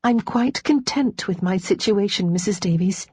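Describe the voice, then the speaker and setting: A woman voicing a gentle, earnest young widowed lady of rank in an audiobook. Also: mid-range voice, moderate pace, breathy edge, no echo, close to an everyday voice. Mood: composed, rueful. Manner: gentle, grave, genteel. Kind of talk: audiobook character dialogue.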